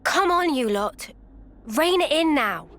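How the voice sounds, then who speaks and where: A woman's voice: high-pitched, animated, takes it slowly, fairly bright tone, heavily acted. An actress playing an earnest, thoughtful young female warrior in a video game, sincere and a little hesitant. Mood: firm.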